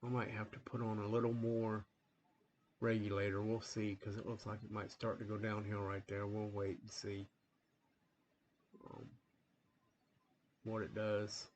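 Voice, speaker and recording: A man hosting a train-game playthrough in a rambling, relaxed way, full of fillers, a little sniffly from a coming cold. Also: fairly low pitch, a little slow, long pauses, highs cut off, a little hiss or hum. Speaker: gaming channel host. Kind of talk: casual gameplay commentary.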